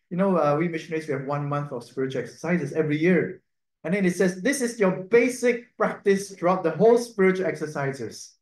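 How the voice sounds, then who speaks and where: A man, a priest and native Cantonese speaker, giving a gentle, conversational reflection on the Sunday readings, often adding a questioning "no?". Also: fairly high pitch. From Cantonese-speaking region. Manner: gentle, conversational, tag "no?" and soft laughs. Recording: echoey room.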